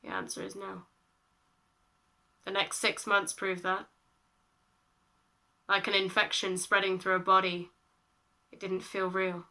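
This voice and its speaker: A woman in her late teens performing spoken-word poetry, with dramatic, deliberate phrasing and repetition. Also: medium pitch, sharp tone. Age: late teens, about eighteen. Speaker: slam poet. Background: music.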